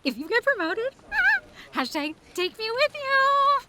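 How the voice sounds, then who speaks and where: A woman's voice: very high-pitched, sing-song, takes it slowly, full character voice. A woman playing a gushing, gossipy young office coworker in an audio drama, giddy and full of internet slang. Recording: clear background noise.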